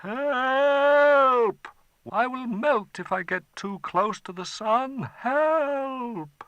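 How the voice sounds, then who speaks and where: Man doing a wicked storybook dragon, gravelly, wild swoops in pitch, slow and drawn out. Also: big pitch swings, no echo, dull audio, exaggerated voice. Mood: pleading. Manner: mocking, gloating, wailing when scared. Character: wicked boastful dragon, cruel and cunning.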